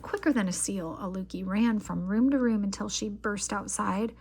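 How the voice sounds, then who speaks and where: A woman reading a children's picture book aloud as an expressive storyteller. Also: medium pitch, moderate pace, slightly rough.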